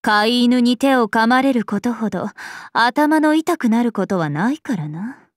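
Slow, imperious princess spirit. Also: female voice, on the high side, lively intonation, no echo, studio quality. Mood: aloof, mildly chiding. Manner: imperious, archaic, composed, aloof. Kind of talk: Japanese mobile game character voice lines.

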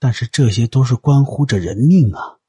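A man voicing a gentle, courteous, thoughtful Taoist cultivator in an audio drama, speaking formally and deliberately. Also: mid-range voice, husky, no echo. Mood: earnest concern.

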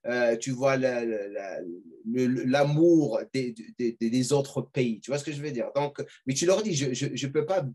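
Husky middle-aged man giving advice. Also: mid-range voice, normal speed, mellow. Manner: advising, asks "tu vois ce que je veux dire?" often. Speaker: podcast host. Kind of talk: casual video-call interview. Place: home room with gaming chair. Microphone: webcam on a video call.

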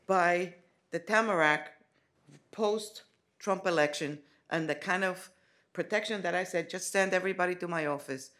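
Gravelly-voiced woman, halting speech. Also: fairly low pitch, a bit bright. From Dominican Republic. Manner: halting, with many fillers and restarts. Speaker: college president. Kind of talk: forum address. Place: large college meeting room.

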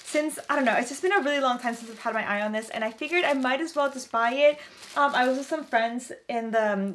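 High-voiced young woman, gushing and chatty. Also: fairly bright tone. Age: young adult. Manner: gushing, enthusiastic. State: slightly strained voice, throat-clearing. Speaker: vlogger showing a vintage clothing haul. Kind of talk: casual chatty haul vlog to camera. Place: small furnished bedroom, indoors.

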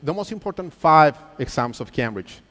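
A man's voice: on the high side, moderate pace. A man, presenting to a room of teachers and quizzing the crowd. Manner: lively, engaging, asks the crowd questions. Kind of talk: live quiz-style presentation to an audience. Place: large auditorium stage. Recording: reverberant hall sound.

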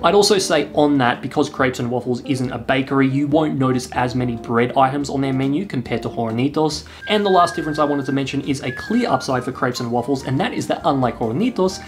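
A man's voice: medium pitch, fairly quick, few pauses. Chatty young man reviewing restaurants. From Australia. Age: young adult. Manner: chatty, self-deprecating, frequent uh fillers. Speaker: travel vlogger. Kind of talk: scripted travel review. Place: indoor room with brick wall and couch.

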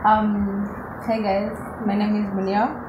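Slow, hesitant woman in an echoey room. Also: mid-range voice, flat delivery, dull audio. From Uganda. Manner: hesitant, starts with "um". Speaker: guest taster. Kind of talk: casual food review chat. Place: home dining room, seated at a table. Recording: echoey room with faint noise.

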